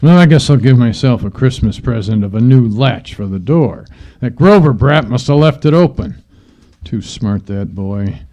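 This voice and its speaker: A man playing a mean, gloating old miser with a gravelly voice and blustering manner in old-time radio drama style. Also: mid-range voice, lively intonation, normal speed, warm tone, natural voice. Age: older. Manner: sneering, blustering, chuckling to himself.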